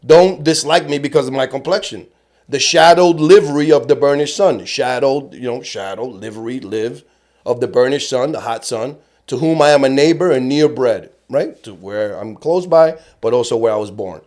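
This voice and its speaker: A middle-aged actor from Cuba talking casually in a podcast interview, an animated storyteller who swears freely. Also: male voice, medium pitch, normal speed. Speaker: actor guest.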